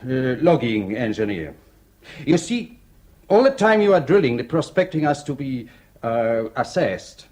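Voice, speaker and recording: Logging engineer who gambles, hesitant and pleading, lively pitch. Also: male voice, unhurried. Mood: explaining. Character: French-speaking oil rig logging engineer, man, nervous gambler. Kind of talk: radio drama acting.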